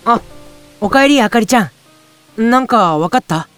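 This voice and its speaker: A woman playing a gentle, easygoing boy in an audio drama, with a casual, friendly, boyish way of talking. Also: on the high side, lively intonation, normal speed, soft background music. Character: gentle, easygoing boy caught in spirit world. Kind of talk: audio drama acting with first-person narration.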